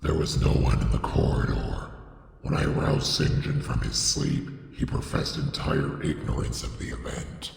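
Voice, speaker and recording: A man reading a horror story as a scripted, dramatic narration, with a solemn and deliberate theatrical delivery. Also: a little high, sing-song, gravelly. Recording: echoey, long reverb on the voice.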